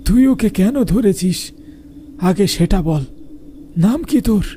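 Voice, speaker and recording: A man's voice: high-pitched, animated, slow pace, mellow, slight background noise. A man voicing an urgent, commanding village exorcist cleric in a horror cartoon. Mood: stern, demanding. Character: stern male village exorcist cleric confronting spirits.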